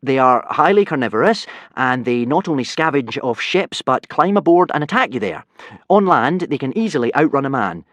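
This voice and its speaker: A man's voice: mid-range voice, lively intonation, normal speed, fairly bright tone, no room sound, voice pushed up, natural voice. A man voicing an ordinary, polite and studious Viking boy, in a storybook reading. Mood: earnest.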